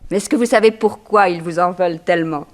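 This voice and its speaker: A woman's voice: fairly low pitch, a little fast, natural voice. Actress as poised young lady journalist. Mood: amused, curious. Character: poised, pretty young female newspaper journalist, gently teasing. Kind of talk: 1960s French radio drama acting.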